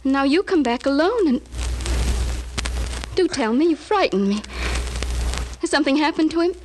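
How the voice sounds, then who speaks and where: An actress playing a worried, loyal wife from Arkansas in a 1950s radio drama, flustered and quick to defend her husband. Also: high-pitched, lively intonation, noisy background. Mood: anxious. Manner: flustered, then fiercely defiant. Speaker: detective's witness.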